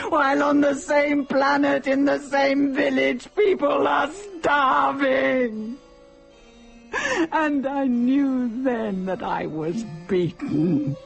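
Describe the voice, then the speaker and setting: An actress playing a starving, embittered village widow and mother near death in an audio drama, speaking mournfully and solemnly with a husky voice. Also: sits high, full character voice, poor audio. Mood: bitter despair.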